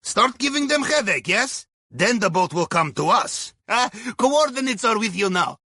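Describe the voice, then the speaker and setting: A man's voice: high-pitched, sing-song, moderate pace, sharp tone, no room sound, clean studio sound, full character voice. A man playing a wry, cheerful Russian submariner and heist planner in a video game, giving briefings in clipped phrasing with a Russian accent. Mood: wry, businesslike. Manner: wry, clipped phrasing with dropped articles and tag questions. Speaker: mission guide.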